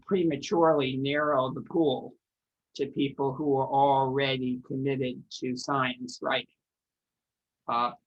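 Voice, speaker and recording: A measured man explains his internship program on an online panel. The audio is dull and lo-fi, like a muffled call line. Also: fairly high pitch, fairly bright tone.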